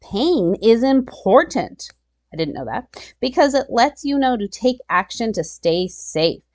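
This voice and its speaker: A woman's voice: fairly high pitch, big pitch swings, measured pace, a little mellow. A woman reading a children's nonfiction picture book aloud, expressively, with chatty asides and exclamations to her young listeners. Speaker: children's storytime reader.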